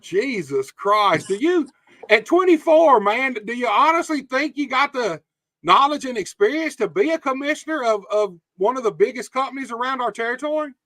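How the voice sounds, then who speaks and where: A man's voice: very high voice, expressive, normal speed, pushed and bright, dry recording. A man hosting a wrestling podcast, reading viewer comments and bluntly challenging his guest. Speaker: podcast host. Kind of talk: casual interview.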